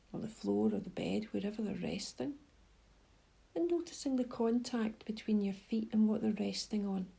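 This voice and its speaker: A woman leading a guided meditation in a soft, breathy voice, giving gentle, unhurried instructions with pauses. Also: mid-range voice. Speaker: mindfulness guide.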